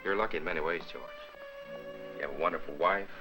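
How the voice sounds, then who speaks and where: A man acting a calm family doctor from a 1950s Hollywood melodrama. He talks quickly in a low, measured, reassuring voice, with music behind him on an old film soundtrack. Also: lightly acted. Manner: brisk, measured, professional.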